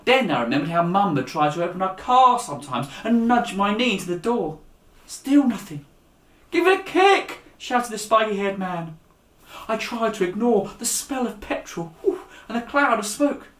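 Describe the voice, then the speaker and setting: A man reading a story aloud for young listeners as an author and storyteller, in an animated, direct way. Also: high voice, moderate pace. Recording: echoey room.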